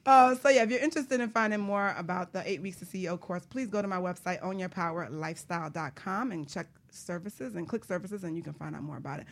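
A woman's voice: on the low side, fast talker, hardly any pauses. A woman hosting a talk show in a casual, bantering style.

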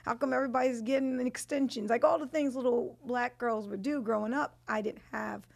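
A woman in her thirties with a thick Trinidadian Brooklyn accent. She has a strongly gravelly, fry-laden voice and tells her story in a lively, candid way. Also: a little high, fairly quick. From Brooklyn, New York. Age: 30s. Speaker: guest. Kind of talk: casual chat.

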